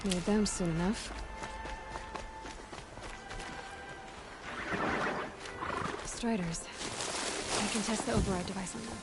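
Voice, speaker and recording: Determined young huntress over loud music played by a woman. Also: mid-range voice, brisk, lightly acted. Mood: focused. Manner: focused, muttering to herself. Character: determined, self-reliant young female machine hunter. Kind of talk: naturalistic video game voice acting.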